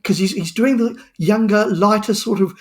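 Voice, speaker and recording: A man in a casual podcast discussion, talking as a guest panellist and telling things in an animated way. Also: high voice, moderate pace.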